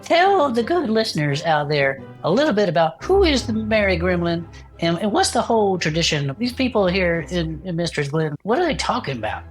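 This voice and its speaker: A man playing a folksy, good-natured small-town radio co-host with a Southern US accent and a husky, drawling, enthusiastic delivery. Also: high-pitched, sing-song, a little fast, faint music behind. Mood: playful, chatty. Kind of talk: improvised in-character audio drama chat show.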